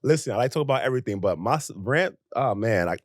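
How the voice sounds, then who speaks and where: A young American man co-hosting a podcast in casual chat, talking in an animated, emphatic way with rhetorical questions. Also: mid-range voice, fast talker, no room sound, studio quality. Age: young adult. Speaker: podcast co-host.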